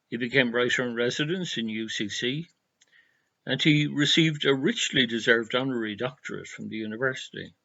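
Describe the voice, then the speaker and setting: An Irish man presenting a scripted tribute in a measured, formal, literary manner. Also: mid-range voice, gravelly, bright, forceful tone, dull audio. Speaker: presenter giving an introduction.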